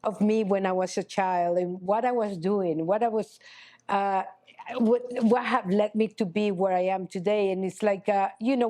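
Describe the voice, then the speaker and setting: A middle-aged woman, a chocolatier guest speaking in a casual interview, halting with many restarts and "you know". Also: mid-range voice, mellow. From Honduras. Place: chocolate shop cafe.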